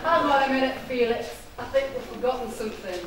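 A woman's voice: high-pitched, fast, a loud crowd, natural voice. A woman playing an eager, naive young adventurer in a live stage pantomime, with projected, declamatory delivery. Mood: curious. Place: theatre stage. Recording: very echoey noisy theatre, distant microphone.

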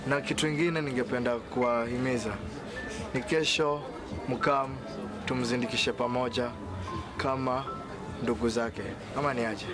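A male actor plays a solemn Kenyan man leading mourners in a radio drama. His mid-pitched voice is measured and exhorting over loud music. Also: steady tone, natural voice.

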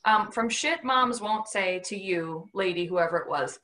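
A woman hosting a casual podcast from home over a video call. Her voice is bright and forceful, and her talk is blunt, profane and jokey. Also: mid-range voice, unhurried, a little hiss or hum. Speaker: podcast host. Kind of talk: casual interview chat.

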